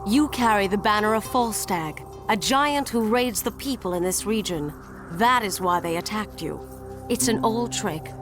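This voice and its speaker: An actress playing a resolute Norse warrior woman serving a god in a video game, solemn and measured. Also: medium pitch, over music, natural voice. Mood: grave. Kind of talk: video game cutscene acting.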